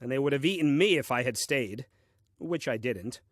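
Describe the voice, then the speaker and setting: Casting: man to play puzzled, literal-minded first husband in a comic audio play; lively swooping delivery, dry pedantry, exasperated temper. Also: mid-range voice, no echo, close to an everyday voice. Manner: deliberate, theatrical, dry and pedantic.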